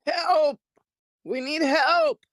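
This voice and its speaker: A woman's voice: high voice, big pitch swings, very slow, no room sound, exaggerated voice. A woman playing a desperate nurse pleading for help in clipped broken English at a screenplay table read.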